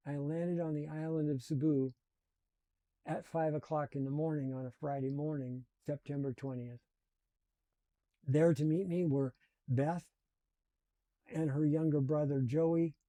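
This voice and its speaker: Earnest older man, dark dry voice. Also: on the high side, dry recording. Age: 70s. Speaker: channel host. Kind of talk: personal storytelling.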